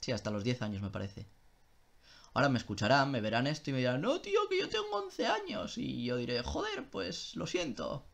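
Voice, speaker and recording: A man's voice: medium pitch, big pitch swings, fairly quick, gravelly, fairly bright tone. A young man chatting casually on a live stream, answering viewer questions in a loose style with swearing and self-interruptions.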